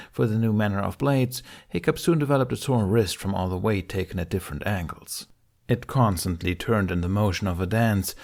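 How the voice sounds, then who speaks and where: Low, creaky-voiced male audiobook narrator. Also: darkish tone. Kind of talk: scripted narration of a fantasy adventure story.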